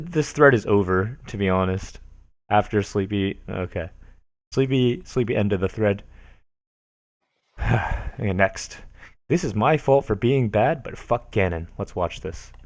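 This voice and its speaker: A man on a casual stream, reading forum posts aloud in a mocking, animated voice with frequent laughter. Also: on the low side, a little slow, no room sound, studio quality. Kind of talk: casual dramatic reading with commentary.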